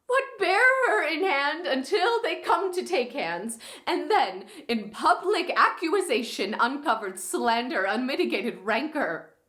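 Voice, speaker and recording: A woman playing a furious, grief-stricken young noblewoman in a classical stage monologue, with an impassioned, anguished delivery and drawn-out words. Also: extremely high pitch, sharp tone, roomy sound, pitched above the performer's own voice, exaggerated voice. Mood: outraged, anguished.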